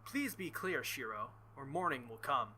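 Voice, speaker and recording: Guy doing a calm formal young swordswoman in a visual novel, polite, measured wording, bright voice. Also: medium pitch, slight background noise. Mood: calm, questioning.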